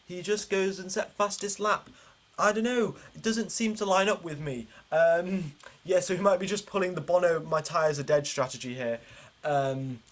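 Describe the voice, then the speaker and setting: A man's voice: high-pitched, a bit bright. A man hosting a livestream, giving informal, chatty commentary on a motor race and explaining it as he goes.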